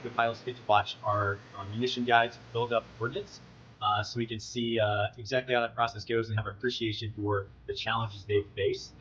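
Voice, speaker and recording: A man's voice: lowish voice, flat delivery, a little fast, fairly bright tone, highs cut off, a little hiss or hum. A man speaking as a military pilot, recounting his work in an interview with plenty of jargon.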